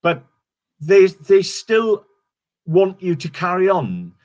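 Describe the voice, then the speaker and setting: A man's voice: high-pitched, sing-song, takes it slowly, darkish tone. A middle-aged Welsh comedian chatting casually in an interview, rambling and restarting his sentences. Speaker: stand-up comedian guest.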